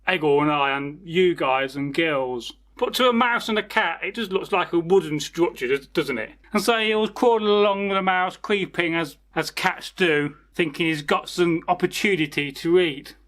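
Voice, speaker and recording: Man, high voice, informal folktale storyteller, chatty, rambling, direct asides to listeners. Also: moderate pace, hardly any pauses, a bit bright. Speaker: storyteller, channel host.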